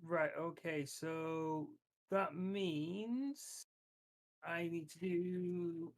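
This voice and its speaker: A man's voice: on the high side, extremely slow. A man talking bluntly and insistently as a player in a tabletop roleplaying game.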